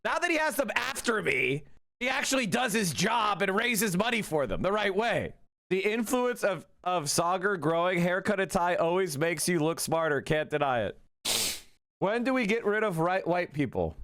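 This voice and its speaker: High-voiced excited male streamer. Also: moderate pace. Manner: excited, profane, rambling. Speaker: political livestreamer. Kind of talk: casual livestream commentary.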